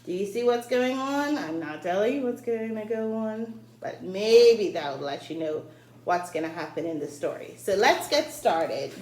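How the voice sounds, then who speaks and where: A woman reading a folktale aloud for children in an expressive way, addressing her young listeners directly. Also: medium pitch, moderate pace. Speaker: story reader, teacher-like host. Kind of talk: read-aloud storytelling for children. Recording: roomy echo, faint background noise.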